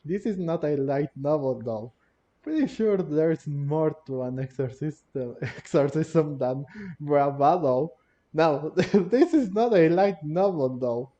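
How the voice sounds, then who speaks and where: A man's voice: on the high side, expressive, slow pace, mellow. A man giving casual, rambling let's play commentary, often repeating himself. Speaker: gaming video creator. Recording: dull, lo-fi microphone. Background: game music.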